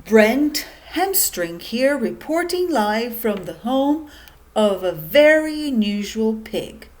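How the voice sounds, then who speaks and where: A woman's voice: fairly high pitch, sing-song, slow pace. Woman playing an emphatic, brash newsman in a storybook. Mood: excited, announcing. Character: brash male TV news reporter, Mister Hamstring. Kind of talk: live news report in a read-aloud storybook.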